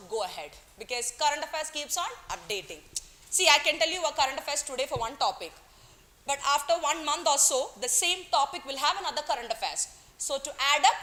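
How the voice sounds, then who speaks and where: Woman, bright tense voice, playful teacher, classroom lecture. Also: high voice. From Kerala, India. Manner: playful, teasing, coaxing students, mixes in Hindi words. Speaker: economics teacher.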